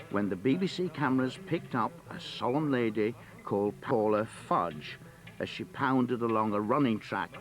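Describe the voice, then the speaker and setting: A man giving a wry, sardonic scripted reading of a newspaper column. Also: lowish voice. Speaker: reader of a critic's columns.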